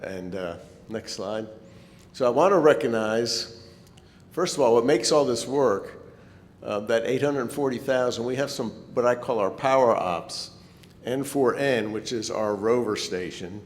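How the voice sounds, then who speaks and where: A man giving an informal, slightly hesitant club presentation in a meeting hall. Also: a little slow. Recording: echoey hall, rumbling background noise.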